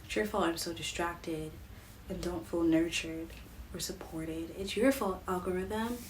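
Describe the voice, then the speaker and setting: A young woman giving a casual psychic reading to her viewers, musing and dreamy, with soft giggles. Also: on the low side, a little slow, a little hiss or hum, poor audio. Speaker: psychic reader channeling messages. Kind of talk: casual spiritual reading to camera.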